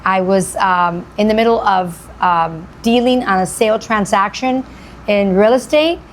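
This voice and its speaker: A woman's voice: medium pitch, unhurried, slight background noise. A woman hosting a radio interview, gushing and repeating phrases for emphasis. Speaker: radio show host. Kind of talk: enthusiastic interview.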